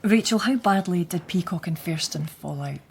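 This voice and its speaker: Coaxing Scottish policewoman, lively intonation. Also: on the low side, normal speed, gravelly, husky, full character voice. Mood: probing. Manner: coaxing, probing. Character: steady, coaxing female police detective. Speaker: actor in a radio crime drama. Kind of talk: radio drama acting.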